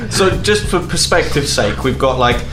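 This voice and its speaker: A man's voice: mid-range voice, lively intonation, moderate pace, over a noisy crowd. A man chatting casually and jokily as a player in a tabletop role-playing game. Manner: jokey, playful. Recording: music playing under the voice.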